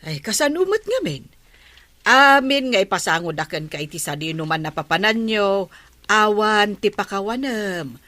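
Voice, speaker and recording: A woman's voice: mid-range voice, very animated, normal speed, bright, forceful tone, dry recording. A woman playing a stern, nagging village wife who exclaims reproachfully in a comedy radio drama.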